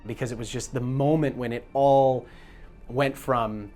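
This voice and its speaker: A man's voice: medium pitch, steady tone, a little slow, darkish tone, faint music behind. A man who works as a producer, recounting an anecdote in a casual interview.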